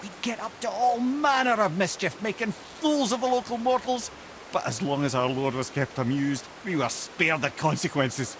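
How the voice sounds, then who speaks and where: A man's voice: high voice, normal speed, background noise. An actor playing a witty, wry old Scottish counselor in a video game, eloquent and theatrical in his storytelling. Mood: amused, reminiscing. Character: witty, wry old counselor, a well-travelled talking head. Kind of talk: video game character dialogue.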